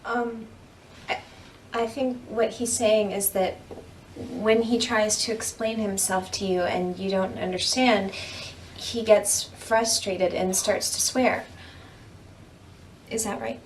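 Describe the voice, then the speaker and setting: An actress playing an earnest woman who mediates in a measured way but stands up to bullies, in a naturalistic drama. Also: medium pitch, steady tone, close to an everyday voice. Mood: tentative. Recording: distant microphone, faint background noise.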